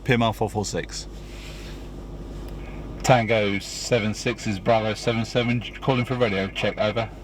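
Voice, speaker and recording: A man's voice: on the low side, steady tone, normal speed, long pauses. A man talking casually as a vlogger testing two-way radios, switching between offhand remarks and radio check calls. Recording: clear vehicle and ambient noise.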